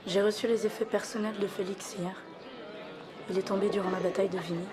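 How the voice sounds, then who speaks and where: A woman playing a concerned, excitable Frenchwoman in a game drama, with a French accent. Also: medium pitch, quick pace, natural voice. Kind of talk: dramatic video game dialogue. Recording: music and crowd behind.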